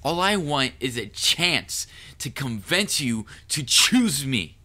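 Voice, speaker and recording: A man playing a proud, cunning, lovestruck assassin in an audio roleplay, speaking formally and deliberately to the listener with quiet menace. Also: mid-range voice, big pitch swings, full character voice. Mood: earnest, persuading. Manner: formal wording, deliberate, measured. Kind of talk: scripted audio roleplay monologue addressed to the listener.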